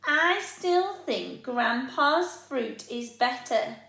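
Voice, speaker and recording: A woman voicing a young storybook boy who is proud of his grandpa and stubbornly unimpressed, in a slow, exaggerated delivery. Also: sits high, expressive, creaky, bright, forceful tone, heavily acted. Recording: echoey room.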